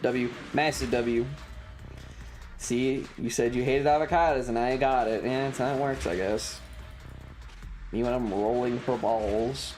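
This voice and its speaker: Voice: mid-range voice, fairly even intonation, a little slow, darkish tone, faint music behind. A streamer chatting casually while gaming, with foul-mouthed slang and playful exclamations. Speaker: variety streamer. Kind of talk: casual gaming livestream chat.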